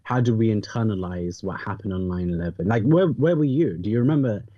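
A man's voice: on the low side, expressive, brisk, no echo, dull audio. A man in his thirties talking reflectively on a podcast, hesitant and rephrasing himself as he thinks.

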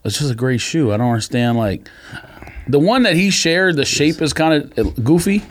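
A man's voice: fairly high pitch, normal speed. A man co-hosting a sneaker podcast in casual, bantering, slangy talk while under the weather with a cold. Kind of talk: casual chat.

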